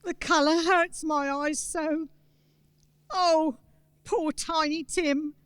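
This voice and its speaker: A woman as a sorrowful, high-voiced mother. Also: fairly even intonation, slow pace, no echo. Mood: grieving, tender. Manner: wistful, gentle. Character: sorrowful, tender mother of a poor family. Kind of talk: theatrical radio play acting.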